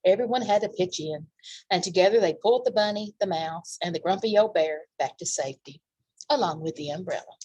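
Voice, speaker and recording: Husky-voiced woman reading to children. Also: lowish voice, fairly quick, dark tone, no room sound, rough recording. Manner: simple asides pointing out the pictures, with exclamations. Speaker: children's library storytime reader. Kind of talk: reading a picture book aloud to young children.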